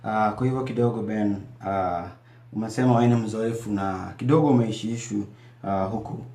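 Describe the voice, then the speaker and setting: Male studio host, low-pitched and halting; roomy studio sound. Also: dark tone. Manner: halting, many fillers and restarts. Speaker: TV show host. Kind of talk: hosting an interview, switching between English and Swahili.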